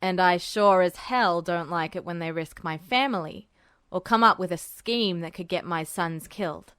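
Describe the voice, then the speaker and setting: A woman voicing a gruff, wry but kindly male police officer in a story reading, speaking in a casual, conspiratorial way. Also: fairly low pitch, a bit bright, no room sound, studio quality, pitched below the performer's own voice, close to an everyday voice. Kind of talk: fan fiction audiobook character voice.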